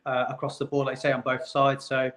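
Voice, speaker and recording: Guy on a sports podcast giving analysis, pretty flat even tone, casual. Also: medium pitch, fairly quick. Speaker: regular podcast panelist.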